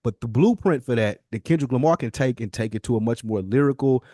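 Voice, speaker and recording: A man's voice: mid-range voice, fast talker, warm tone, no echo. Man, mid-range voice, casual podcast talk, colloquial, emphatic phrasing, dry recording. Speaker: podcast guest or co-host. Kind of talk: casual hip-hop discussion.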